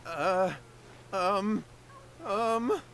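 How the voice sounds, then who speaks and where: A man's voice: high voice, extremely slow, a bit bright, a little hiss or hum, heavily acted. A man voicing a cowardly, scheming, flustered young man in a video game, speaking in a nervous, pleading way. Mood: panicked. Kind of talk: video game character acting.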